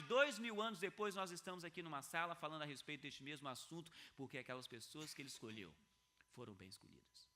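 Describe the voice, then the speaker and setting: A man preaching in an earnest video sermon, his voice high-set for a man and very bright in tone. He swings between hushed, low passages and loud, lifted emphasis. He repeats key phrases to drive them home. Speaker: pastor.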